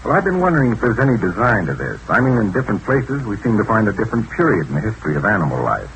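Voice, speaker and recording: Male adventure lead: composed, probing, fairly deep, old radio serial sound with faint hiss. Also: huge pitch swings, rapid-fire, fairly bright tone, exaggerated voice. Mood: probing, serious. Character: level-headed, probing male adventurer and government agent. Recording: slightly noisy old radio recording.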